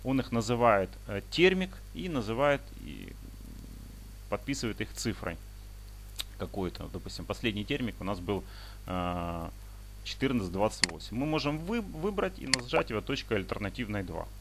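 Guy walking thru software on screen, creaky voice, kinda halting, noisy recording w clicks. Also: fairly low pitch, sing-song. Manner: explanatory, step-by-step, halting. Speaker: instructor demonstrating paragliding flight software. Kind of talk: unscripted screen-recording tutorial.

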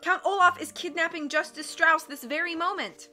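A woman's voice: fairly high pitch, lively intonation, brisk, pushed and bright, soft background music. A woman voicing an earnest young girl with a fierce, pleading manner in a storybook reading.

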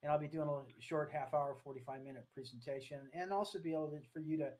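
An American man in his sixties speaks earnestly in a slightly high voice for a man. He is giving an online talk from a room at home, partly reading, and his delivery is halting and deliberate. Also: steady tone, background noise. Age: 60s. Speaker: speaker, coach. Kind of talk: online talk to camera, partly read from notes. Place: small room at home, indoors. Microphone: webcam or laptop at desk distance.

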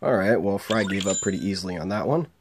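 A man's voice: deep voice, quick pace, noisy background. A young man with a sore throat chatting casually through a game, reading its lines aloud. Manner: chatty, laughs while reading. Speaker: game let's play host. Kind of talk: casual gameplay commentary, reading dialogue aloud. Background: faint game music.

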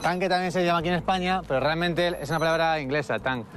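A man's voice: on the high side, quick pace, fairly bright tone. A man in his twenties with an accent from Spain, an army officer explaining things in an interview. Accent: Spanish from Spain. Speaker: army lieutenant, tank commander. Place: outdoors under an open tank hangar. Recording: faint outdoor background noise.

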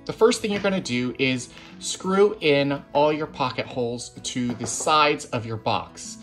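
A man's voice: mid-range voice, unhurried, a bit bright, soft background music. A man in his thirties giving casual step-by-step instruction as a tutorial host, recorded indoors in a workshop. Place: indoor woodworking shop. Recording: roomy echoing workshop sound.

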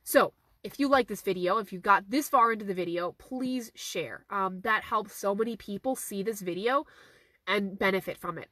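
Woman, 30s, horse trainer; hoarse, voice going; phone at arm's length in a car; dry close sound; earnest and repetitive. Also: medium pitch, a bit bright, dry recording. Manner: earnest, repeats key points for emphasis. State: hoarse, losing her voice. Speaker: horse trainer hosting a live Q&A. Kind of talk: casual live-stream Q&A, reading viewer questions. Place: inside a parked car. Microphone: phone camera at arm's length.

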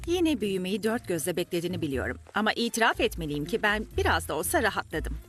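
A woman in a cartoon dub playing a caring, sensible bug friend with a gentle, reassuring manner. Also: medium pitch, very animated, quick pace. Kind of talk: animated cartoon dub acting. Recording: faint music behind.

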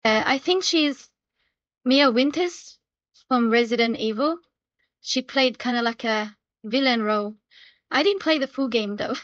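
A woman's voice: fairly high pitch, measured pace, pauses often, fairly bright tone, dry recording. A woman chatting casually with a friend on an online voice call, in lo-fi audio. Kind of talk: casual chat. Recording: dull lo-fi voice chat audio.

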